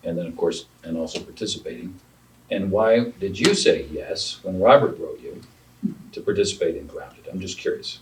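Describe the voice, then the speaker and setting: A man's voice: sits low, big pitch swings, normal speed. A man interviewing artists on a panel at an art center, chatty and explaining with asides. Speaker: interviewer, founder of the arts organization. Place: art center gallery room. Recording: distant mic with faint room noise.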